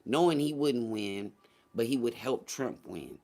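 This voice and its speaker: A man with a mid-pitched voice hosts a casual livestream. He talks straight to his chat in loose, slangy language with some swearing. He leans on key phrases and repeats them to drive his points home. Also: lively intonation, a little slow, a little mellow. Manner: slangy, profane, conversational; emphatic, repeats phrases for effect. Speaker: livestream host. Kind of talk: casual livestream political commentary, addressing a live chat.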